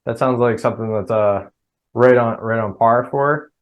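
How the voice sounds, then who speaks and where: A man's voice: mid-range voice, fairly even intonation, normal speed. A middle-aged man with a New Jersey accent, talking casually as a podcast guest. He rambles and often restarts his sentences. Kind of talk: casual chat.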